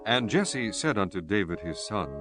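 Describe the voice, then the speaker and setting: A man with a fairly low voice narrates scripture solemnly. His pitch moves in lively sweeps while the pace stays measured, over prominent background music.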